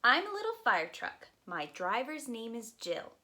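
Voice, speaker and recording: A woman's voice: a little high, wildly swooping pitch, a little slow, pushed and bright, exaggerated voice. A woman voicing an eager, proud little fire truck in a children's picture book, with emphatic sing-song rhyming delivery.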